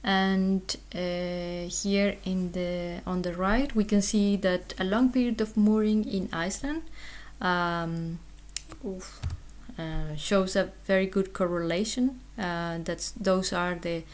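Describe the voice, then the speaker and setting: A woman research scientist giving an explanatory conference presentation, hesitant, with frequent pauses and ums. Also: lowish voice, steady tone.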